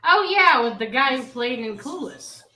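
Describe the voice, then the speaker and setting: A woman's voice: fairly high pitch, lively intonation, normal speed, sharp tone. A woman chatting playfully as a panelist in a casual group talk. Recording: echoey room.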